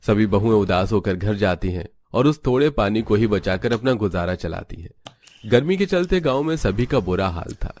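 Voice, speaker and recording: Low-voiced man narrating a story. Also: lively intonation, normal speed, darkish tone. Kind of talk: scripted cartoon story narration.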